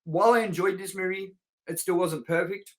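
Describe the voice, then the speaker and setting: A man's voice: sits high, fairly even intonation, a little fast, a bit bright. A man co-hosting a film review show, chatting casually and enthusiastically with informal, sweary language.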